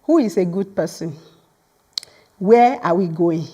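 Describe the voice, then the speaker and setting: A woman's voice: lowish voice, animated, unhurried, warm tone. A woman whose first language is Yoruba, giving an academic lecture as a guest speaker in a lecture hall, earnest and apt to repeat words as she starts a phrase.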